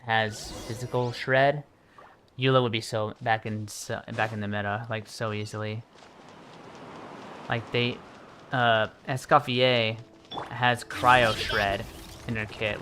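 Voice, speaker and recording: A man's voice: on the low side, pushed and bright, a little hiss or hum. A man livestreaming a video game with casual, rambling commentary, repeating himself in a mildly exasperated way. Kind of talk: casual live gaming commentary.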